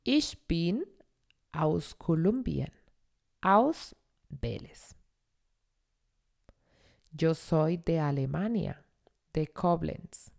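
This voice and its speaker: A young woman teaches German in a podcast lesson, repeating each phrase slowly for learners. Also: low voice, lively intonation, creaky, a little mellow. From Colombia.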